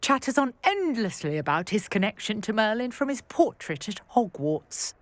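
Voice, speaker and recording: A woman playing a brisk, wry historian and archaeologist in a video game, articulate and full of curiosity. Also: mid-range voice, very animated, no echo, close to an everyday voice. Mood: enthusiastic, intrigued. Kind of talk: video game character acting.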